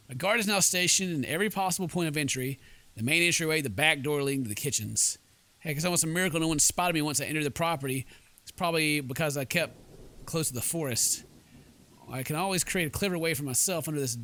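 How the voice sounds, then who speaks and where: A man's voice: a little high, sharp tone, slight background noise. A man hosting a game playthrough, goofy and theatrical, mixing commentary with dramatic read-aloud narration. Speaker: gaming video host.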